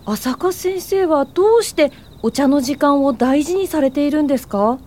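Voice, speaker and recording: Bubbly high-pitched young woman student. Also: expressive, moderate pace, mellow. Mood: excited, playful. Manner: bubbly, eager, polite. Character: cheerful, curious, forthright young female college student. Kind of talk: Japanese radio drama acting.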